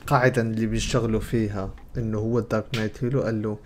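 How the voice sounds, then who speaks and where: A man's voice: lowish voice, flat delivery, rapid-fire, a little mellow. A young man with a Levantine Arabic accent, giving casual game commentary and translating dialogue aloud as a streamer. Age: young adult. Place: indoor room. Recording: clear background game noise.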